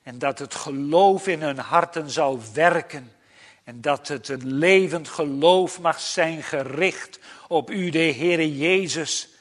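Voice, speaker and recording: A male pastor leads a congregation in solemn prayer. His voice sits a little high for a man and moves very slowly. He sounds earnest and pleading, setting out each measured phrase with pauses. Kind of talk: solemn extemporaneous church prayer.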